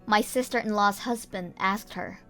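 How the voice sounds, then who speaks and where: A woman's voice: mid-range voice, normal speed, pushed and bright, soft background music. A woman reading scripted first-person story narration for a manga dub.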